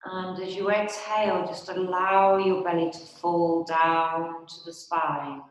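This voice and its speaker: Slow, low-voiced woman in an echoey room. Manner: calm, soothing, unhurried with long pauses. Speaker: yoga and meditation instructor. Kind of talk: guided meditation and restorative yoga instruction.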